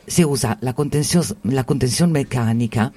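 A man with an Italian accent speaking Spanish as a second language, slow and halting, often searching for words in a discussion. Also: medium pitch, warm tone. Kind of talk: discussion about psychiatry.

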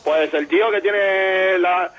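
High-voiced jokey man calling in. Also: fairly even intonation, takes it slowly, a bit bright, soft background music. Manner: irreverent, sweary, jokey. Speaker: caller to a radio show. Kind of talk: casual joking chat.

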